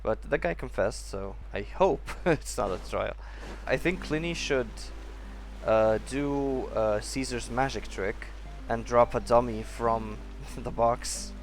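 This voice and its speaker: A man's voice: medium pitch, takes it slowly, soft background music. A man streaming a game, thinking aloud in casual, joking playthrough commentary.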